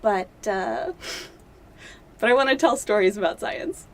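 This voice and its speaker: A woman's voice: medium pitch, lively intonation, slow. Chatty young woman with filler words. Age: young adult. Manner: chatty and self-deprecating, many fillers and laughs. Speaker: doctoral candidate interviewee. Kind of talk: casual interview.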